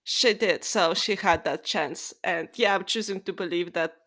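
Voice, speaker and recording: A woman's voice: lowish voice, normal speed, a bit bright. A woman co-hosting a podcast, discussing books casually in informal, conversational phrasing. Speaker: podcast host.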